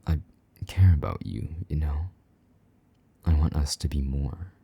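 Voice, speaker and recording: A jealous vampire knight, played by a man murmurs slowly in a very deep, dark voice. His tone is husky and slightly gravelly, sliding into soft whispers as he teases and comforts. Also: lively intonation, exaggerated voice. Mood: tender. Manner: low murmuring with soft whispers, teasing and gruff. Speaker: voice actor in audio roleplay. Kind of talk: intimate audio roleplay.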